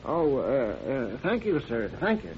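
An actor playing a nervous, fussy, deferential small-town druggist in an old radio drama, hesitant and stammering, repeating himself. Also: male voice, medium pitch, huge pitch swings, measured pace. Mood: nervous, reluctant. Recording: dull, noisy old radio recording.

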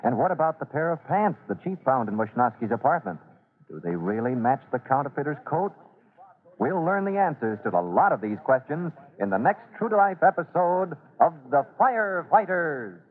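A man's voice: on the high side, very animated, moderate pace. A man narrating a radio serial as announcer, with formal, dramatic scripted delivery in 1940s style. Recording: tinny, band-limited old radio recording.

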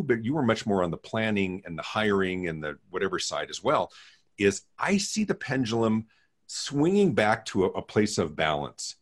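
A middle-aged man with a deep voice, hosting a casual interview in a chatty, self-deprecating way. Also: husky. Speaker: podcast host.

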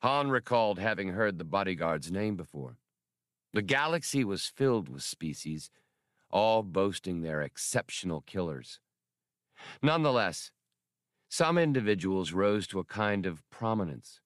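A man's voice: low voice, sing-song, measured pace, dry recording, clean studio sound. A man narrating scripted adventure fiction as a dramatic audiobook reader.

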